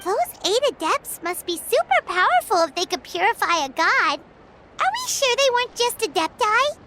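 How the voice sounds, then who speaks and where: A woman's voice: very high voice, big pitch swings, normal speed, background noise. A woman voicing a tiny childlike fairy companion in a video game, curious, blunt and exclamatory. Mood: excited curiosity. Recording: loud music behind. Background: game music.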